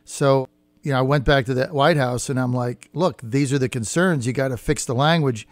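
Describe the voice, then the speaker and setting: A man's voice: medium pitch, normal speed, dry recording. Opinionated male radio host, close dry sound. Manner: plain-spoken, opinionated, punchy short sentences. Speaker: radio talk show host. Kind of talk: conversational political radio interview.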